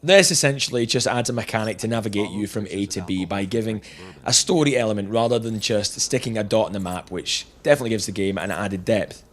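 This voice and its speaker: A man's voice: lowish voice, quick pace. A man narrating a scripted video game review with jokey, chuckling asides.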